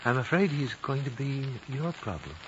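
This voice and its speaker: An actor playing an ancient, wise and dying high lama in a 1950s radio drama, solemn, fatherly and measured. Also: very animated, close to an everyday voice. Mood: solemn, tender. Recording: dull, noisy old radio recording.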